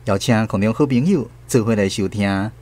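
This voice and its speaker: A man's voice: lowish voice, normal speed, darkish tone. A man with a Taiwanese accent hosting and narrating a scripted radio drama.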